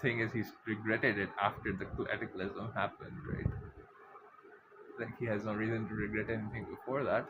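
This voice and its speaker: A man's voice: on the low side, level tone, normal speed, very noisy. A man co-commentating on a game, chatting and explaining the story as it plays. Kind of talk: gameplay chat and reading dialogue aloud. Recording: dull audio under loud music.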